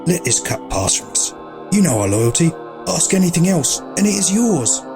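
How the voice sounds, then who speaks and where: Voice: medium pitch, very animated, moderate pace, vocal fry. An actor playing a desperate, loyal cult member in an audio drama, begging fervently for mercy. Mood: pleading. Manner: imploring, fervent. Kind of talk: melodramatic audio drama acting. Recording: music behind the voice.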